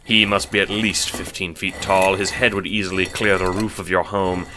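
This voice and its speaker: Low-voiced man narrating an audio drama. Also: little pitch movement, normal speed, background noise. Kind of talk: scripted second-person storybook narration.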